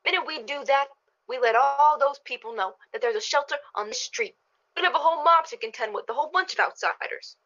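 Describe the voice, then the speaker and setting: A woman's voice: sits high, fairly quick, bright, forceful tone. A woman playing an anxious, resentful neighbor in a radio play, with an indignant delivery. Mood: wary. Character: resentful, anxious neighbor protective of own street. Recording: dull lo-fi audio.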